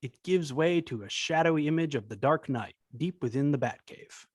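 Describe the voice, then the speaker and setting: A man narrates a screenplay, reading its stage directions. His voice is a touch high, and the recording is close and dry. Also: expressive, normal speed, no echo.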